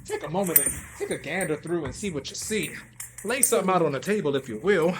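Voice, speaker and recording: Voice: mid-range voice, expressive, fast talker, background noise. A live radio show host with a theatrical, folksy way of talking, upbeat and addressing the audience.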